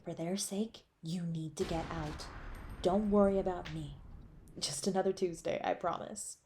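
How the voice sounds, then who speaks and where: A woman's voice: on the low side, steady tone, measured pace, a little hiss or hum, pitched below the performer's own voice, close to an everyday voice. A woman playing a selfless, protective superhero in an intimate audio roleplay, speaking in an earnest, urgent way. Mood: tender urgency. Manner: hushed, earnest, reassuring.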